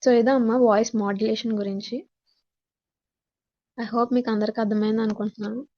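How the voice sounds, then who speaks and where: Female teacher, Telugu accent, fairly high pitch, explanatory lecture delivery mixing in English, dull low-fidelity class recording. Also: flat delivery, fairly quick. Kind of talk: online class lecture, reading points in English then explaining. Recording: dull, muffled lo-fi audio.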